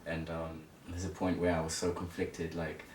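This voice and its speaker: Deep young male voice, from Zimbabwe, flat intonation, hesitant casual storytelling, interview guest. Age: early twenties. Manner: hesitant, halting, informal. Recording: echoey room, distant mic, rumbling noise.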